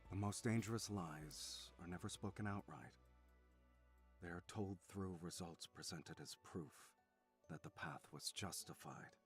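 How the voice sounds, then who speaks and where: A man playing a composed, severe lecturer in a visual novel, speaking with controlled, deliberate formal oratory. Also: sits low, faint music behind. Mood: grave. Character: composed male lecturer, measured and severe about ethics.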